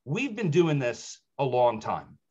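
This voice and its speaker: A middle-aged agency leader introduces a speaker with heartfelt pride, using a plain mid-range voice. The recording is dull and lo-fi. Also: male voice, mid-range voice, sing-song, takes it slowly, warm tone, slightly rough. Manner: heartfelt, proud. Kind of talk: warm stage introduction.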